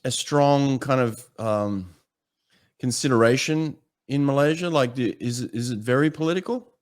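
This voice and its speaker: A man from Australia, hosting an interview and asking relaxed, curious questions. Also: medium pitch, normal speed. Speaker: podcast host. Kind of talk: interview conversation.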